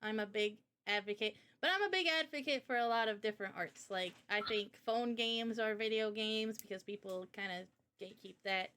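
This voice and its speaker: A woman's voice: medium pitch, moderate pace, hardly any pauses, pushed and bright. A woman streamer and game developer chatting casually with her audience in an informal, slangy way. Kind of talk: casual livestream chat.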